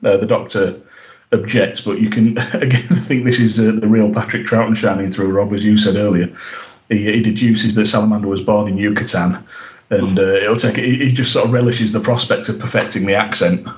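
A man's voice: fairly low pitch, steady tone, fairly quick, echoey room, muffled recording, slight background noise. A man co-hosting a podcast, recapping an episode in casual chat, rambling with lots of "you know" and "uh". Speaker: podcast co-host.

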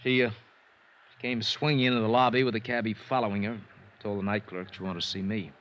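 An actor playing a chagrined middle-aged American hotel operator in a 1950s radio drama, speaking with rueful, sardonic self-mockery. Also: male voice, lowish voice, flat delivery, brisk, fairly bright tone, natural voice. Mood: exasperated, sardonic. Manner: rueful, sardonic, hesitant then emphatic. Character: chagrined gray-haired hotel operator, wryly self-mocking. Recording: dull, noisy vintage radio recording.

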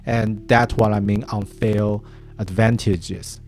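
A man whose first language is Chinese, giving career advice to design learners in a slow, halting way with frequent restarts. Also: on the low side, fairly even intonation, darkish tone, soft background music. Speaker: design mentor. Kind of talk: advice talk to camera.

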